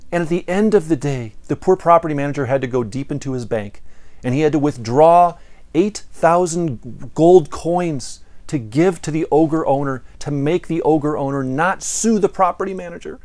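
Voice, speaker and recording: A man's voice: fairly high pitch, normal speed, darkish tone. Theatrical American man presenting a lesson. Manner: theatrical, emphatic delivery. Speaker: presenter of property management lessons. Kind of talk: playful storytelling lesson to camera. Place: indoor studio in front of a backdrop. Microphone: lapel mic.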